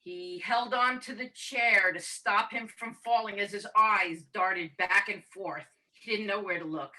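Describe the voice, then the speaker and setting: A woman with a very bright, forward voice leads an improvised spooky story. She sounds set back from the microphone on a video call. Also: mid-range voice, unhurried. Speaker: host of a storytelling game. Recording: video call, distant from mic.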